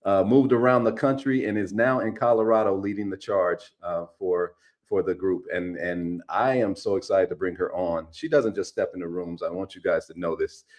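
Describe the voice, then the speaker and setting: A deep-voiced man from California introducing a guest on an online talk. He is enthusiastic and energetic, often doubling his words. His pitch sits very low. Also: moderate pace. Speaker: co-host, interviewer. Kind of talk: live online interview.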